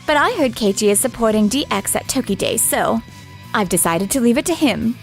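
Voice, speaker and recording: A woman's voice: fairly high pitch, expressive, normal speed, vocal fry, soft background music. A woman voicing a polite but blunt factory client in a manga drama dub, politely cutting in manner. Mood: blunt, displeased.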